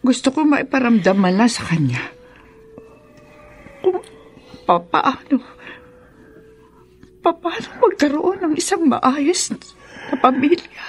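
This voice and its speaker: A woman's voice: high voice, expressive, slow, long pauses. A Filipino woman playing a worried, tender, remorseful middle-aged mother in a radio drama. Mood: remorseful, pleading.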